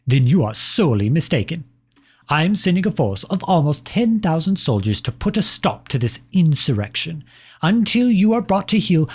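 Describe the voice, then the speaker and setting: A man's voice: a little high, a little mellow, slightly rough. A man playing a pompous, jilted personification of Britain in a comedic audio roleplay, reading formal letters with petulant indignation. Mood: outraged, hurt.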